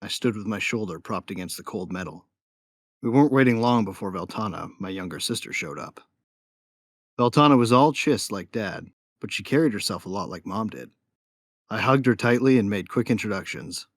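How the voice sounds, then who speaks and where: Low-voiced, understated sci-fi narrator. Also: male voice, lively intonation, moderate pace, no echo, studio quality. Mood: calm, wry. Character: wry young armored man telling his story. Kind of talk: first-person audio drama narration.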